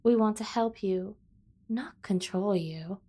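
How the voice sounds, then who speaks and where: A woman's voice: mid-range voice, takes it slowly, warm tone. A woman voicing an obsessive, protective yandere sibling in an ASMR roleplay, speaking with earnest, over-the-top devotion. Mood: doting, earnest. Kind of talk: scripted ASMR roleplay addressed to the listener.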